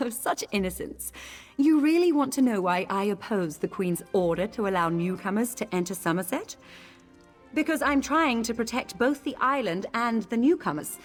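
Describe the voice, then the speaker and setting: A woman's voice: on the high side, expressive, moderate pace. An actress playing a proud, indignant female elf noblewoman in a fantasy video game, who speaks imperiously. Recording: game audio with faint music.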